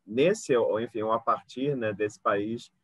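A man's voice: fairly low pitch, measured pace, breathy edge, dry recording. A man in his thirties with a Brazilian accent, talking casually as an interview host on a video call. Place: indoor room with bookshelves, video call. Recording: dry, dull video call audio.

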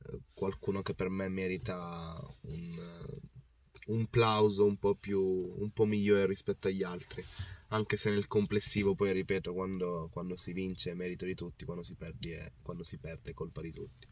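A man in his thirties with a worn-out, hoarse voice, passionately recapping a football match to camera. He rambles, repeats himself and restarts his sentences, and speaks from a parked car. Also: low-pitched, level tone, normal speed, darkish tone, recorded from a distance, a little hiss or hum. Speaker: football fan vlogger. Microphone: phone or camera on the dashboard.

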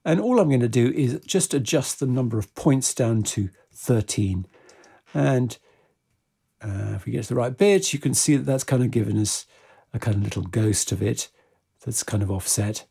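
A man presenting a software tutorial step by step, in a methodical, informal way. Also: mid-range voice, lively intonation, moderate pace, creaky, slightly rough.

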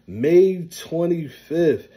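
A man with a mellow, dark voice delivers a battle rapper's promo at a very slow pace, stretching out his slangy phrases. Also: a little high, expressive. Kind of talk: drawn-out promo shout-out.

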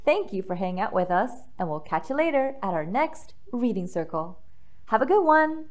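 A woman hosting an expressive read-aloud for young children, addressing them directly in an animated way. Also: medium pitch, normal speed. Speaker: children's story reader and host.

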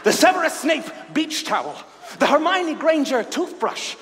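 A greedy, theatrical conman wizard villain in a stage comedy. The voice sits high and leaps around with gleeful boastfulness and a sharp, forceful edge. It rings in a hall. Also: sing-song, moderate pace, heavily acted. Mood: manic glee. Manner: theatrical, boastful, swinging into shouts. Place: live staged reading on a stage. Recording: reverberant hall, faint noise.

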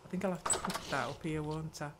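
An older woman hosting a gameplay video, muttering her thoughts aloud in an unhurried way. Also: sits low, very noisy. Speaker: gaming channel host. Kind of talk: let's play commentary.

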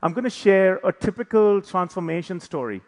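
A man's voice: sits high, expressive, normal speed, darkish tone. A man, middle-aged, giving an informal, joking conference presentation from a stage. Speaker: conference speaker. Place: large indoor conference hall, on stage. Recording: hall reverb from a stage PA.

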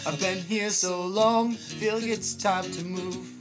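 A teenage boy singing loudly and earnestly along to a backing track in a dark bedroom, into a webcam mic. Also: sits high, steady tone, takes it slowly, over music. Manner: loud, earnest amateur singing. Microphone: built-in webcam mic. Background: backing track from a small speaker.

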